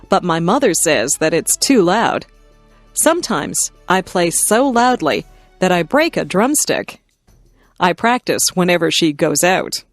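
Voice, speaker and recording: A woman narrating simple scripted stories for language learners, reading in careful, clearly separated phrases. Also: on the low side, no room sound. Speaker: narrator of an English listening lesson. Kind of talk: scripted narration, read-aloud beginner stories.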